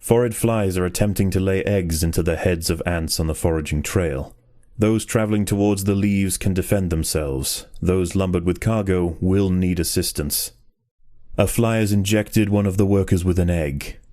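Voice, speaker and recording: A man reading scripted nature documentary narration for a game in a measured, grave and formal storytelling manner. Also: deep voice, dark tone, dry recording, studio quality.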